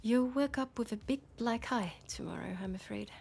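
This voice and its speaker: A woman's voice: on the high side, normal speed, vocal fry, darkish tone, slight background noise. French-accented policewoman, grave and caring, acted by a woman. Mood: gentle, concerned. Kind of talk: intimate sci-fi audio roleplay monologue.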